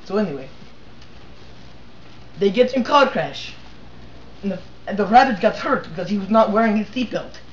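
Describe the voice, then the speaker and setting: A man voicing a crude storyteller with a put-on Russian accent and broken English, shouting out the lines of his characters. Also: sits high, expressive, normal speed, pauses often, a little hiss or hum. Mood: gleeful. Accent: mock Russian. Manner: bursts into shouting for quoted characters. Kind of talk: comedic amateur storytelling. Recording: echoey lo-fi amateur recording.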